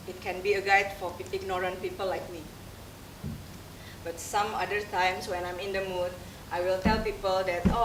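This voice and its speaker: A young woman with an Indonesian accent, telling her story in a candid, self-mocking stage monologue with pauses. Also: mid-range voice. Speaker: theater performer. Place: dark theater stage. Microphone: distant room mic. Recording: roomy stage sound from far off, audible noise.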